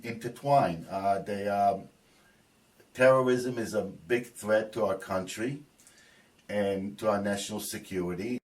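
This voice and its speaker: A man from New Jersey answering interview questions, halting with many fillers and restarts. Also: fairly low pitch, a little mellow, far from the mic, rough recording. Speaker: interviewee, biker group co-founder.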